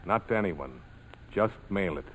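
An actor playing a tough, guarded ex-army major in a 1940s radio drama, clipped and curt, with an American accent. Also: male voice, low voice, expressive, normal speed. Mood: curt, firm. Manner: clipped, curt, hard-boiled. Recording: noisy 1940s radio broadcast.

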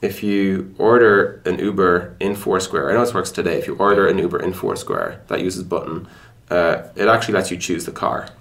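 A man's voice: deep voice, expressive, moderate pace, creaky, hardly any pauses, fairly bright tone. A man explaining things conversationally in a casual podcast interview, feeling his way toward words as he goes. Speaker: tech product executive being interviewed.

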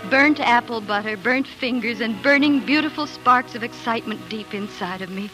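An actress playing a devoted, excitable young pioneer bride, telling her story in earnest, lyrical 1940s radio drama style. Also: on the high side, moderate pace, natural voice, poor audio. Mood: joyful. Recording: old 1940s radio broadcast, music underneath.

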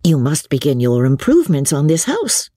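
A woman voicing a sensible, composed young woman in a story, reasoned and gently ironic. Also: low voice, wildly swooping pitch, moderate pace, dry recording, clean studio sound, voice pushed up, full character voice. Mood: gently practical. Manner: reasoned, wry.

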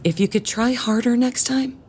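A woman's voice: fairly high pitch, normal speed, a little mellow, slightly rough. A woman playing a chirpy, nosy church youth helper in an audio drama comedy, flustered and stumbling over her words. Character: bubbly, nosy female church youth helper named Clara.

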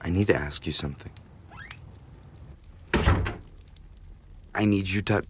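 A man's voice: low-pitched, fast, background noise, close to an everyday voice. An actor playing a conflicted, earnest man with a pleading manner in an audio drama. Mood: urgent, pleading. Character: conflicted, earnest man smuggling people from an oppressive regime.

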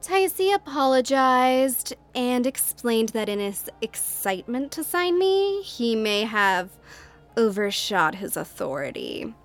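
Drama diva: demanding, haughty, easily affronted, voice a little high. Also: female voice, big pitch swings, slow pace, faint music behind, exaggerated voice. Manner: haughty, petulant. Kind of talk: scripted drama dialogue.

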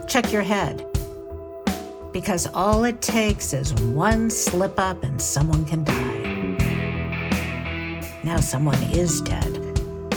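A woman's voice: low voice, full character voice. A woman acting as an earnest, confiding bus operator narrating an audio drama in first person. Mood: cautionary, then regretful. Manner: confiding, matter-of-fact. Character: earnest, loyal woman bus operator telling her story. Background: prominent guitar music.

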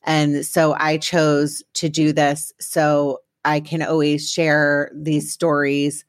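A woman hosting an interview, gentle and supportive, speaking with some hesitation. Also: low-pitched, monotone, slow. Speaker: host. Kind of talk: interview podcast.

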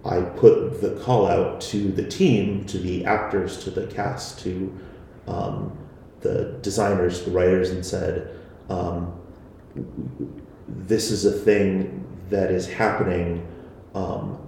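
A man's voice: low-pitched, little pitch movement, slow, creaky, warm tone, a little hiss or hum. A man being interviewed about his podcast, talking hesitantly with many fillers and restarts. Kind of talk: casual interview answers. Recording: very echoey room.

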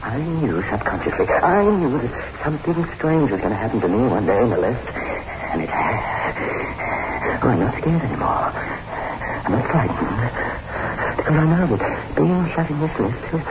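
A man's voice: mid-range voice, animated, normal speed, close to an everyday voice. An actor playing a frightened, defiant man raving in a lift, in melodramatic, repetitive old-time radio horror style. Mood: manic triumph. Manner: melodramatic, repeats himself frantically. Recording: noisy 1960s radio drama recording.

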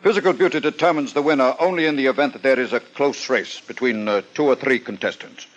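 An actor playing a pompous, stuffy contest promoter in a 1950s radio drama, speaking formally and with some hesitation. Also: male voice, mid-range voice, quick pace, dull audio, lightly acted.

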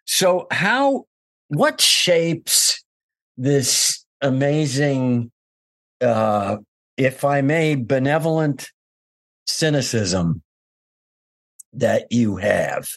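Slow-talking wry male podcast host. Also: mid-range voice, expressive, a bit bright. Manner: slow, wry, chimes in with jokes. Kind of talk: casual interview chat.

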